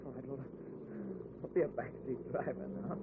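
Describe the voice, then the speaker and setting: An actor playing a nervous, shifty motorist in an old-time radio thriller, hesitant and anxiously placating. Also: male voice, mid-range voice, fairly even intonation, normal speed, creaky, close to an everyday voice. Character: nervous, shifty male motorist trying to appease. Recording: tinny, very noisy old radio recording.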